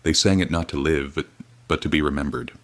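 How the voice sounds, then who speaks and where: An actor playing a weary, grim radio reporter, speaking in a solemn, measured, grave way. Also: male voice, a bass voice, a bit bright, dry recording. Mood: urgent. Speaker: field reporter. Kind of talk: dramatic period radio reporting.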